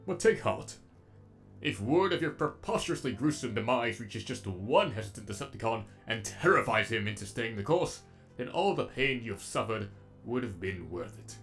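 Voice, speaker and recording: A man's voice: mid-range voice, very animated, moderate pace, fairly bright tone, faint music behind. A man voicing a sadistic, erudite robot villain with an eloquent, menacingly polite delivery, as in a comic dub. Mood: mocking, menacing.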